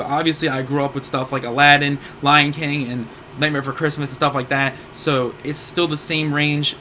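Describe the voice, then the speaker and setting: Hoarse man in his 30s, gaming host, rambling upbeat sale rundown, a bit high and bright. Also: slight background noise. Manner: rambling, self-correcting, upbeat. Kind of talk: casual game sale rundown.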